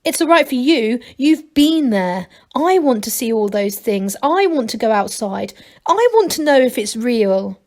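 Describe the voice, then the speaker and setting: A woman voicing an eager, impatient young male gnome who talks with excitable pride in a children's storybook. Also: fairly high pitch, unhurried. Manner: excitable, proud, trucker slang.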